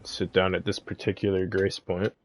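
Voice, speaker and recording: A man's voice: low-pitched, little pitch movement, moderate pace, a little hiss or hum. A man giving a casual, easygoing game walkthrough as a streamer. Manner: laid-back, easygoing. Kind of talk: casual game guide commentary.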